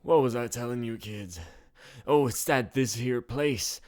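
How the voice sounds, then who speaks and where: A man's voice: medium pitch, measured pace, darkish tone, pitched above the performer's own voice, natural voice. Man putting on a drawling old Western bartender. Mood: folksy, mysterious. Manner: drawling, folksy, theatrical. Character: folksy old male saloon bartender, boastful storyteller.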